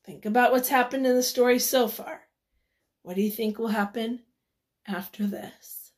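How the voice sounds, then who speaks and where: A woman reading a picture book aloud to children, expressively and deliberately, with dramatic emphasis. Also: a little high, steady tone. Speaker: children's read-aloud storyteller.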